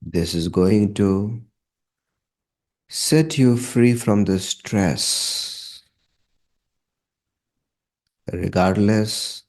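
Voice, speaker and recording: A man leading a guided meditation in a calm, measured manner, leaving long pauses between short phrases. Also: sits low.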